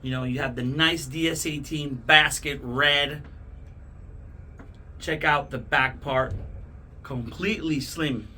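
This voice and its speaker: A man's voice: fairly high pitch, steady tone, takes it slowly, long pauses, pushed and bright, a little hiss or hum. A man hosting a casual product unboxing, talking enthusiastically to viewers in short, punchy, repeated phrases. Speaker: host of product unboxing videos. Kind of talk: casual hype product demo to camera.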